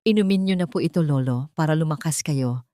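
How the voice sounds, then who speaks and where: A woman acts out a young audio drama villainess with a low female voice. She presents herself as innocent and devoted, with calculation and spite underneath. The recording is close and dry. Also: fairly quick, dry recording, close to an everyday voice. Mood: sweetly manipulative. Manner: gentle coaxing that turns to shrill outrage. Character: sweet-talking scheming young woman, falsely innocent, vicious when cornered.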